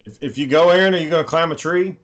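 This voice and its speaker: A man's voice: fairly high pitch, fast, highs cut off. A male podcast co-host chats casually and tells everyday stories. He is blunt and swears freely as he goes. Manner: profane, blunt. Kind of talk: casual chat, telling stories.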